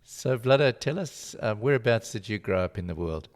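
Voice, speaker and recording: Brief: male podcast host, very low voice with animated swings, upbeat questions, faint music underneath. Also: moderate pace, no echo, clean studio sound. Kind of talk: podcast interview, scripted intro.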